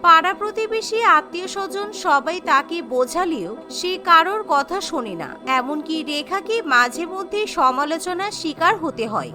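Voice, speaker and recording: A woman's voice: very high voice, sing-song, measured pace, few pauses, music in the background. A woman narrating a scripted cartoon story as its storyteller.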